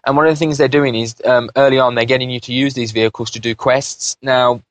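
A man's voice: mid-range voice, fairly even intonation, dry recording. Hesitant British man, dry close sound. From United Kingdom. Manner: hesitant, halting delivery. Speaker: podcast panelist and game reviewer. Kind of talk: casual chat about video games.

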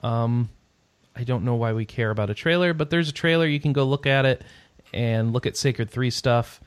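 A man's voice: medium pitch, moderate pace, clear, resonant tone, no room sound. A man hosting a casual podcast, reading out gaming news with rambling, sarcastic asides that trail off into mumbles.

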